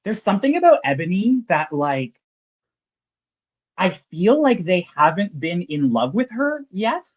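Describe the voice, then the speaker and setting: A man's voice: high voice, big pitch swings, a little slow, dull audio. A man hosting a podcast, chatting casually with a dry, self-deprecating wit. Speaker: podcast host.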